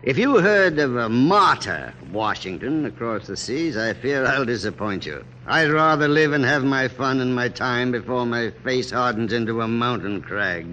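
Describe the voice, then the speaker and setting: An actor playing a weary, wry, middle-aged general in a formal, measured classic radio drama style. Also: male voice, medium pitch, expressive, bright, forceful tone, highs cut off. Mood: grave, weary. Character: weary, dignified American general of forty-five, dryly wry. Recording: noisy old radio recording.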